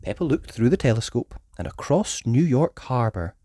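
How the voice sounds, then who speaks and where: Very animated woman storyteller. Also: rumbling low, moderate pace, slightly rough, no room sound, studio quality. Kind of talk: expressive children's story read aloud.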